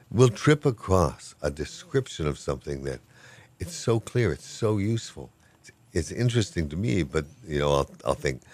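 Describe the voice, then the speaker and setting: An older man with an extremely deep, low voice talks in a relaxed, rambling way. He is good-humored and self-deprecating, a professor chatting through an interview. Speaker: professor of Hawaiian language. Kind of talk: casual interview talk.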